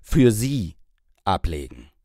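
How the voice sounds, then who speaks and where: A man voicing a confident young career woman in an audiobook, stern, measured and condescending. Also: fairly low pitch, sing-song, warm tone, no echo, studio quality, voice pushed down, exaggerated voice. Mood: indignant, controlled.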